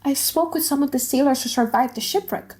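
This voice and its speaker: A woman's voice: sits high, a little fast, darkish tone, lightly acted. A woman voicing a matter-of-fact male friend who reports gossip and bad news in an audiobook play.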